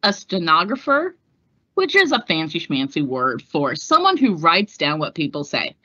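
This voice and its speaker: A woman's voice: sits low, huge pitch swings, normal speed, sharp tone, slightly rough, dry recording, dull audio. A woman reading a children's storybook aloud in a playful, chatty way, adding her own exclamations. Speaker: read-aloud host.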